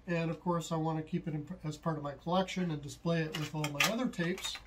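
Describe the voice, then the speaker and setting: A middle-aged man giving a casual, unscripted how-to explanation, halting and thinking aloud as he goes. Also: on the high side, steady tone, heavy background noise. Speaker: hobbyist host of a DIY tutorial. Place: small indoor home workshop at a desk, later at a computer.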